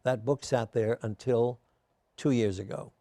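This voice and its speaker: An older man, a guest in a casual interview, telling genial, self-deprecating stories while his voice is going hoarse. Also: mid-range voice, fairly even intonation, measured pace, warm tone, no echo.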